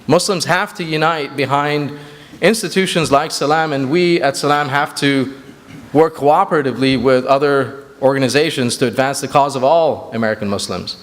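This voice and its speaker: Man, grew up in Sri Lanka, earnest speech at a community banquet, mid-pitched, long hall reverb. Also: normal speed. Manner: earnest, with frequent fillers and restarts. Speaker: executive director.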